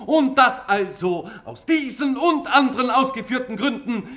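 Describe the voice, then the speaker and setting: A man's voice: extremely high pitch, expressive, moderate pace, a bit bright. An actor playing a pedantic male court assessor in a 1950s radio play, delivering a formal legal oration. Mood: orating.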